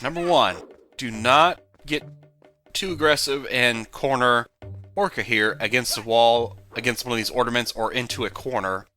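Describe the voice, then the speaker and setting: A man giving casual, jokey, rambling commentary on a video game he is playing, with sudden loud whoops. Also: medium pitch. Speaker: let's play commentator. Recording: game music behind voice.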